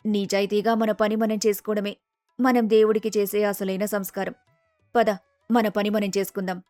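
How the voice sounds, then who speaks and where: A woman's voice: mid-range voice, little pitch movement, normal speed, a little mellow, no echo, faint music behind, voice pushed down, natural voice. A woman voicing a firm, caring older mother-in-law who gives advice in a storybook tale. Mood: wise, approving. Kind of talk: storybook character dialogue.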